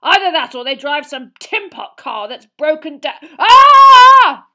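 Storybook role: woman as blustering middle-aged uncle, indignant growl and bellow, pitched up, forceful and bright. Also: animated, moderate pace. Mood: grumbling, irritable.

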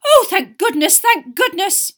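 A woman's voice: extremely high pitch, very animated, a little slow, fairly bright tone, voice pushed up, exaggerated voice. A woman voicing a frantic, tearful middle-aged wizard mother, fussing and exclaiming in an audiobook story. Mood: frantic relief. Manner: wailing, breathless exclamations and fretting.

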